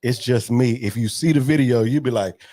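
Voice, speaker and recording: A man in his forties telling stories in a relaxed interview, acting out what people said. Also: mid-range voice, brisk, no room sound, clean studio sound. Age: 40s. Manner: animated storyteller, acts out quotes. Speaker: gospel artist guest. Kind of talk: casual interview storytelling.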